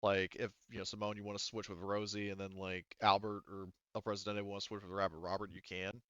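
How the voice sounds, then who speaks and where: A man acting as game master, casually running a tabletop game and thinking aloud in a halting way, on slightly dull audio. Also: fairly low pitch, little pitch movement, a bit bright, clean tone, no echo. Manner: halting, talks through rules while thinking aloud.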